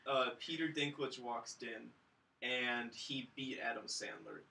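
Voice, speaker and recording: A man's voice: mid-range voice, a little slow, gravelly, sharp tone, a little hiss or hum, low-quality recording. A man on a film review podcast, recapping casually with a sarcastic, mock-grandiose comic delivery. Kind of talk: casual comedic plot recap.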